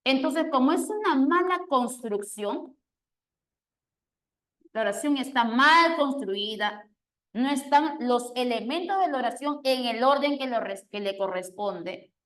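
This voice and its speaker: A woman's voice: sits high, animated, measured pace, fairly bright tone. Woman teacher explaining grammar, checking in often. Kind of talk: online exam-prep class lecture.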